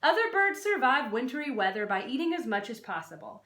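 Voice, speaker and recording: High, animated woman reading aloud, echoey room. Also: a little fast. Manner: expressive, questioning, stresses and draws out key words. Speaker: teacher reading a non-fiction book aloud to children. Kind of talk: children's read-aloud with explanatory asides. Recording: roomy, echoey sound.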